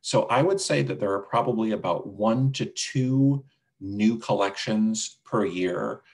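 A man with a gravelly voice presenting a product demonstration and answering questions on a video call. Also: lowish voice, a little slow, a little mellow. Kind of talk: webinar demonstration and Q&A. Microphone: video call microphone.

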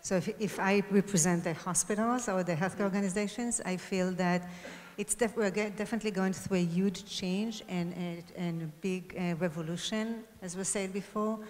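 A woman's voice: mid-range voice, steady tone, normal speed, darkish tone, slight background noise. A woman speaking on a conference panel with a handheld mic, answering fluently with many fillers and self-corrections. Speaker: panelist, hospital data and AI head. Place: large conference hall stage. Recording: long hall reverb.

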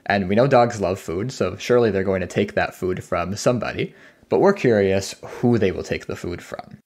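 A man speaking as a scientist guest, explaining research step by step in a measured way. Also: deep voice, a little mellow. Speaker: dog cognition scientist, guest. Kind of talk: explanatory interview talk. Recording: guitar music behind the voice.

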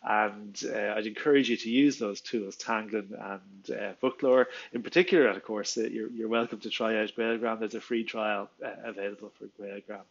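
A man's voice: fairly low pitch, steady tone, moderate pace, hardly any pauses, lo-fi sound. A man in his forties with an Irish accent, a native Irish speaker, giving an enthusiastic, chatty explainer video from a small room at home, with many fillers and restarts. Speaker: Irish language teacher. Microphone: headset, video call framing.

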